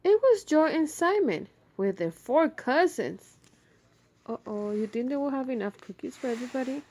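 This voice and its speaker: A woman's voice: high voice, lively intonation, moderate pace, a little mellow. A woman hosting a children's read-aloud, narrating expressively and speaking to young listeners. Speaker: storybook reader, video host.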